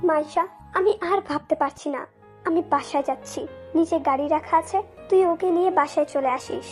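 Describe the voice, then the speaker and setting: Casting: woman to play anxious mother in a romance audio drama, extremely high voice, fretful, lamenting. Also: moderate pace, faint music behind. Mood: weary, troubled. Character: anxious mother fretting over daughter and family honour.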